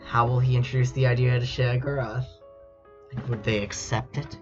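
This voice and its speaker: A man's voice: mid-range voice, flat delivery, normal speed. A man streaming casually and reading fan fiction aloud in a mock-dramatic, amused and incredulous way. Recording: dull lo-fi mic with music behind. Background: background music.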